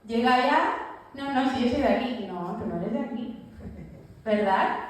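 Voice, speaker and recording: A woman's voice: fairly high pitch, normal speed. A woman preaching a sermon in church, telling the story vividly and acting out the quoted lines. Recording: echoey church hall, voice from far off.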